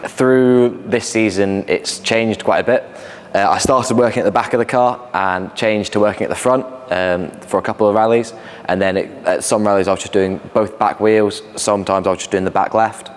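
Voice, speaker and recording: A man's voice: fairly low pitch, steady tone, normal speed, hardly any pauses. A nineteen-year-old man who talks in a plain, matter-of-fact way. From St Andrews, Scotland. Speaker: student rally team member. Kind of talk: casual interview answers. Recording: reverberant room.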